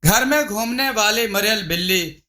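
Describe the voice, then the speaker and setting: A man's voice: sits high, expressive, unhurried, sharp tone. A man reading a scripted folk tale as a storyteller. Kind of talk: scripted narration of a Hindi moral folk tale.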